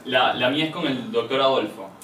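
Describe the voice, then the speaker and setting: Male audience questioner, formal wording, mid pitch, distant in a reverberant room. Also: a little fast, crowd noise. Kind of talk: formal question at a public forum. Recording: echoey room, distant mic.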